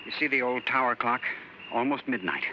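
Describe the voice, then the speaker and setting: A man's voice: medium pitch, brisk, close to an everyday voice. An actor playing an urgent, folksy, superstitious townsman in 1950s radio drama style. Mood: urgent, spooked. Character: excitable superstitious male townsman and friend. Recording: muffled noisy old radio recording.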